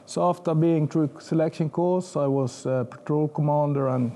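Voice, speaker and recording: A man's voice: a little high, normal speed, dark tone. A Norwegian man in his fifties with a Norwegian accent, a military veteran speaking hesitantly on a panel. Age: 50s. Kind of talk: hesitant self-introduction on a panel.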